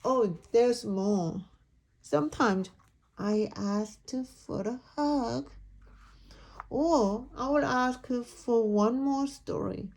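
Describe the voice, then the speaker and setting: A woman's voice: on the high side, extremely slow, gravelly, darkish tone, exaggerated voice. A woman voicing a dramatic, complaining storybook pigeon with a whiny, emphatic delivery. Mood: boastful grumbling. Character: indignant, dramatic pigeon who complains and pleads. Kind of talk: picture book read-aloud character.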